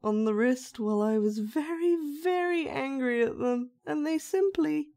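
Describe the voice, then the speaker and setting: Creepy soft dollmaker lady woman acting it out, slow n mellow, sweetly menacing audio roleplay, talks down to you gently. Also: high-pitched, animated. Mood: wistful, eerie. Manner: slow, coaxing, condescendingly gentle. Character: sinister soft-spoken dollmaker with magic powers, sweetly menacing. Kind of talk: scripted roleplay monologue addressed to the listener.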